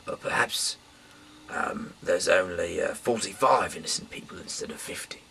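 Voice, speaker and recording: A man reading scripture aloud informally in a halting way, repeating and stumbling over words, with a raspy, breathy voice that often trails off. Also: deep voice, pushed and bright, slight background noise, poor audio. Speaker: amateur Bible reader.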